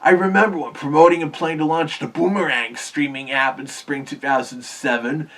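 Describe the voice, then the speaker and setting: A man's voice: a little high, recorded from a distance. A man hosting a cartoon history video, earnestly explaining in scripted narration.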